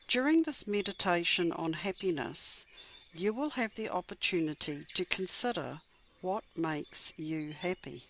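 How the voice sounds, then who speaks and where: A woman leads a guided meditation in a measured, gentle, soothing way, pausing often between phrases. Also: on the low side. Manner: measured, gentle, soothing delivery with long pauses. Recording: clear background noise with birdsong. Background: birdsong and chirping.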